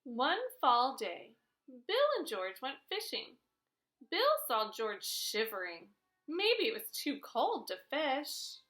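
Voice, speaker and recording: A woman reads a picture book aloud with a bright, high voice. Her delivery is expressive and aimed at young children, with melody that swings widely from phrase to phrase. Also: takes it slowly, soft background music. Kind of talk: read-aloud children's storytelling.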